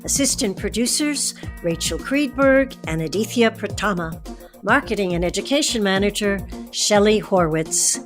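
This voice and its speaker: A woman's voice: mid-range voice, animated, moderate pace, faint music behind. A woman hosting a public radio program, speaking as an interviewer and presenter. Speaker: radio host.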